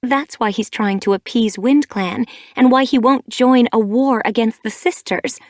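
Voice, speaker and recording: A woman's voice: on the high side, unhurried, no echo, studio quality, voice pushed up, lightly acted. A woman voicing an earnest mother she-cat. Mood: firm, defensive.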